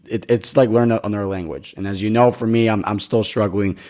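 A man's voice: fairly low pitch, fairly even intonation, fairly quick, mellow. A man in his twenties hosting a casual podcast, rambling with lots of restarts and casual swearing. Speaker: podcast host.

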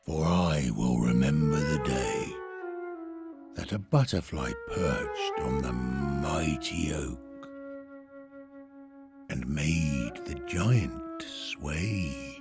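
A man as a very deep, kingly oak tree. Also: very slow, exaggerated voice. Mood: wistful resignation. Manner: grand, tender, solemn. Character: mighty old male oak, lovelorn, kingly, kind. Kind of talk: poetic storybook recitation. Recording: solo flute music behind.

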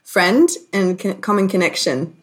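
A woman born in Hong Kong, a communication coach, talking casually as a guest and explaining her ideas with thoughtful pauses. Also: mid-range voice. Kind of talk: casual interview.

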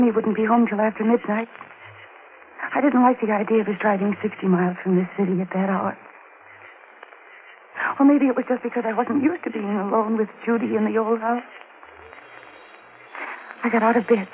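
Actress playing anxious young mother, dramatic suspense narration, vintage radio drama, narrow-band sound, clearly noisy. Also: on the high side, steady tone, a little fast, pauses often, natural voice. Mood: uneasy, hushed. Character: anxious 27-year-old wife and mother, alone at night. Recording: noisy muffled 1940s radio recording.

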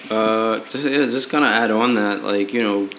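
A man's voice: lowish voice, moderate pace, fairly bright tone. A man in his late twenties co-hosting a podcast, giving blunt relationship advice in a rambling way, full of fillers and restarts. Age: 20s. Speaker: podcast co-host. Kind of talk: casual advice chat. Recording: echoey room with faint noise.

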